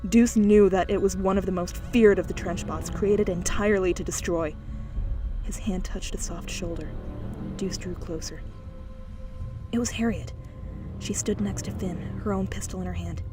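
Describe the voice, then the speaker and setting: A woman's voice: medium pitch, steady tone, normal speed, music in the background. A woman reading an audiobook, narrating scripted fiction in a dramatic style.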